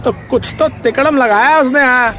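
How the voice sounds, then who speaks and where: A man's voice: extremely high pitch, normal speed, over music. A man voicing a jealous, scheming village vegetable seller in a comic cartoon, with a blustery, colloquial way of talking. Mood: grumbling, suspicious.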